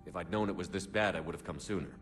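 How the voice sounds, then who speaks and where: An actor playing a male starship commander in a video game, speaking in a clipped, calm, commanding way. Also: deep voice, racing, over music, lightly acted. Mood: steady. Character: male military commander, steady and decisive. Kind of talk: video game cutscene acting.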